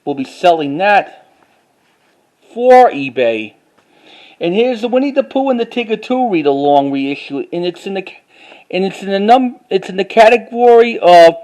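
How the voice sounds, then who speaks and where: A man giving a casual show-and-tell as a collector, talking informally in a halting, repetitive way. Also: high-pitched. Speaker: collector showing items to camera.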